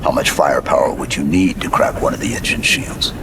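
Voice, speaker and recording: A man playing a stoic, terse armored super-soldier in a video game, with a gravelly, understated delivery. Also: a bass voice, brisk, background noise. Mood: terse, focused. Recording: long reverb, game soundtrack beneath.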